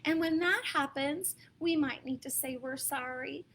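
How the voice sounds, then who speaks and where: American lady doing kids storytime, high voice, slow and really expressive. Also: slight background noise. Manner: expressive, dramatic emphasis, asks listeners questions. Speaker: storyteller reading picture books to children. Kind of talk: animated read-aloud storytelling for young children, with asides.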